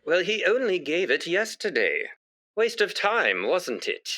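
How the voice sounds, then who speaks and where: Guy doing blunt hospital staffer, radio sitcom, high pitched and very animated. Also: measured pace, noisy background, exaggerated voice. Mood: dismissive. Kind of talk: radio comedy acting.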